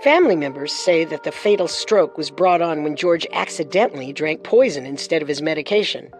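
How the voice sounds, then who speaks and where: A woman's voice: sits low, lively intonation, a little fast, soft background music. A woman co-hosting a podcast, reading scripted true-crime narration with dramatic storytelling and wry touches.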